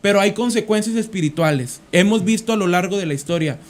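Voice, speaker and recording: A man's voice: high-pitched, fast. A man with a Mexican accent hosting a religious talk podcast, repeating phrases for emphasis as he comments. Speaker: podcast host.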